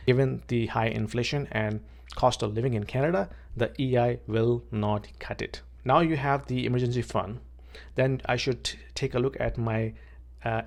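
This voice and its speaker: A man's voice: mid-range voice, gravelly, darkish tone. A man from Pakistan, a vlogger and realtor, talking plainly to camera outdoors, often repeating words and checking in with "okay". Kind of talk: casual talk to camera.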